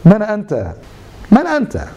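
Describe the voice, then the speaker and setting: A man's voice: sits high, huge pitch swings, normal speed, mellow, lo-fi sound, slight background noise. Man teaching a religious lesson. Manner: rhetorical questions, mixes dialect with formal Arabic. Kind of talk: religious lesson to a gathered audience.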